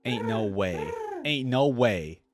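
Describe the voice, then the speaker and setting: A man's voice: on the low side, animated, takes it slowly, heavy background noise. A man hosting a reaction video, chatting informally and slangily as he muses aloud. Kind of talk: casual chat, reacting to game voice lines.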